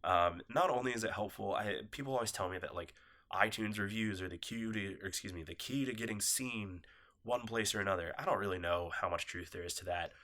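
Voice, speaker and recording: A man's voice: fairly low pitch, brisk, bright, forceful tone. A man hosting a casual solo podcast, nasal and coughing from a cold, rambling and apologetic. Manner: rambling, apologetic, loose and laid-back. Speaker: podcast host. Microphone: phone set down nearby.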